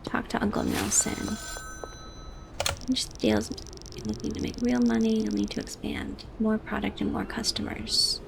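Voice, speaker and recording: A woman with a soft, high voice talking quietly through a gameplay video for sleep, slipping between a hush and a whisper. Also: level tone, measured pace, vocal fry, background noise. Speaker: gamer. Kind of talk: soft-spoken ASMR gameplay.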